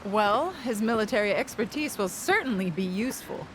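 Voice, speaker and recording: A woman acting a composed female steward and strategist in a game. She speaks formally and deliberately at a medium pitch, with faint ambience behind her. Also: lively intonation, background noise, close to an everyday voice. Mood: pragmatic. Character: composed, pragmatic female town steward and adviser. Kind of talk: video game cutscene acting.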